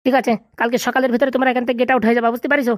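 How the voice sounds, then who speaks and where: A man's voice: extremely high pitch, level tone, very fast, no room sound, studio quality. A man voicing a vengeful, bossy corrupt local politician in a comedy cartoon, boastful and insistent. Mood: bossy, scheming. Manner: bossy, boastful, repeatedly checking he is understood.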